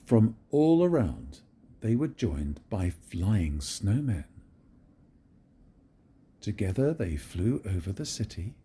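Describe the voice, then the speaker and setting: An older man reading a picture book aloud to his grandchildren in a gentle, very expressive storytelling manner. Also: fairly low pitch, slow pace, long pauses, breathy edge.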